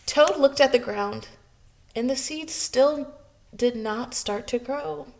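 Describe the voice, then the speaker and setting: A woman's voice: high voice, slow pace, poor audio. A woman preschool teacher hosting story time, speaking directly to young children as she greets them and reads.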